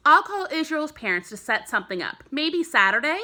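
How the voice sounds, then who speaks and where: A woman reading a children's storybook as a warm, encouraging mother, gentle and affectionate. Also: high voice, big pitch swings, fairly quick, voice pushed up, heavily acted. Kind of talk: children's storybook read-aloud.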